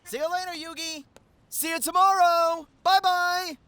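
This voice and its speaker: A woman playing a cheerful, eager high-school kid in an audio drama, bubbly and full of exclamations. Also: steady tone, a little slow, a bit bright, no room sound. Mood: upbeat.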